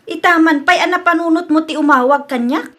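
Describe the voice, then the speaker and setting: An actress plays a blunt Ilocano friend in a radio drama. She has a high voice and speaks plainly to the point. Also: fairly quick, fairly bright tone. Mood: wary. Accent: Filipino, Ilocano.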